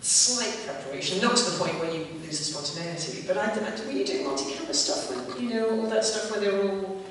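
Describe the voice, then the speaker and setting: A woman's voice: lowish voice, expressive, brisk, noisy background. A woman, a guest actor on a convention panel, chatting animatedly with many restarts. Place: large theatre stage. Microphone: distant hall pickup. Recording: echoey theatre from far off.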